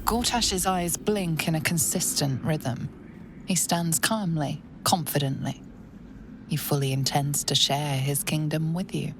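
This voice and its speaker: A woman playing an observant, composed figure in a video game, with a measured, analytical manner. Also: deep voice, noisy background. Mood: composed, watchful. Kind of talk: video game character acting.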